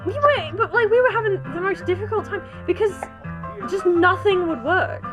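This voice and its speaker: High-voiced excitable woman streamer. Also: normal speed, music in the background. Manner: excitable, chatty, bursts into squeals. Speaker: streamer host. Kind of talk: casual stream chat reacting to game trailers.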